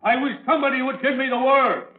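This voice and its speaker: A plaintive stage actor at rehearsal in an old radio drama. The voice is high and swooping, heard far off in an echoing space. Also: male voice, steady tone, quick pace, phone-quality sound. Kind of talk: 1940s-50s radio suspense acting. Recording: echoey, distant, band-limited old radio.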